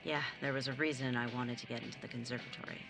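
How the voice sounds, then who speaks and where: A woman's voice: very deep voice, fairly even intonation, fast talker, music in the background. A young woman playing a sarcastic, blunt nineteen-year-old younger sibling in a naturalistic audio drama. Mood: casual, guarded. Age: young adult. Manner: sardonic, blunt, casual.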